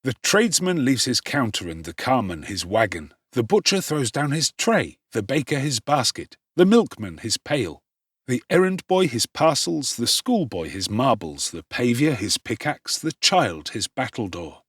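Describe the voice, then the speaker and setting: A man's voice: mid-range voice, big pitch swings, moderate pace, fairly bright tone, slightly rough, no echo, clean studio sound. A man narrating a classic novel in a dramatic, theatrical and emphatic style with precise delivery. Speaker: audiobook narrator.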